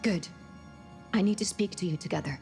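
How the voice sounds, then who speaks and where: A woman playing a brisk, grave ship's officer delivering a briefing in a sci-fi game. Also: on the low side, vocal fry, a little mellow, soft background music. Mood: grave, businesslike. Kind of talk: cinematic game acting.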